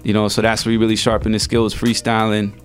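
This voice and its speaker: Laid-back rapper from El Salvador, lowish voice. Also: male voice, completely flat delivery, quick pace, faint music behind. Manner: laid-back, loose slangy storytelling. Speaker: rapper guest. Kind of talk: casual radio interview.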